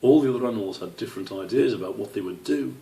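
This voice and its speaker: Man reading a kids storybook, deepish n creaky, measured storyteller, roomy echo, away from the mic. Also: expressive, warm tone. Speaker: author reading his own book. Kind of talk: children's storybook narration. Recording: roomy echo, distant microphone.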